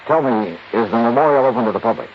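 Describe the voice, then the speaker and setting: An actor playing a wry, patient insurance investigator who questions and narrates politely in a 1950s radio detective drama. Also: male voice, mid-range voice, fast talker, natural voice. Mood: probing. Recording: dull, noisy 1950s radio recording.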